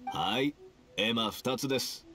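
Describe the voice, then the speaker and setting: A man's voice: mid-range voice, unhurried, sharp tone. A man voicing a friendly festival stall keeper in an anime game, calling out with polite vendor patter. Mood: helpful. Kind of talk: anime video game voice acting.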